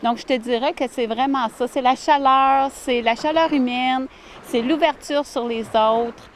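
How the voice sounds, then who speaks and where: A woman, answering interview questions warmly and earnestly outdoors by a lake, with many fillers and restarts. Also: sits high, steady tone, measured pace, a little hiss or hum. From Ottawa, Canada. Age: 40s. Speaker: village mayor, interviewee.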